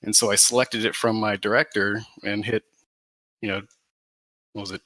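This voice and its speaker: A man's voice: on the low side, level tone, moderate pace, pushed and bright, no room sound, poor audio. A man of about thirty, a podcast guest, talking casually in a modest, hesitant way. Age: 30s. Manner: modest, hesitant, many restarts. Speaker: guest gamer. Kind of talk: casual chat.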